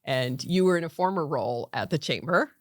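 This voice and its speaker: A woman's voice: sits low, expressive, fairly quick, dry recording, clean studio sound. A middle-aged woman from Connecticut, a county commissioner chatting easily as a podcast guest, laughing often. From Connecticut, USA. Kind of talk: casual interview chat.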